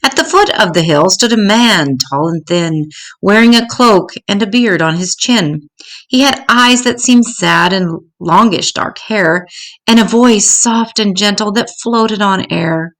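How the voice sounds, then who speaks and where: An older woman storyteller reading a children's story aloud in an expressive, measured way. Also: mid-range voice.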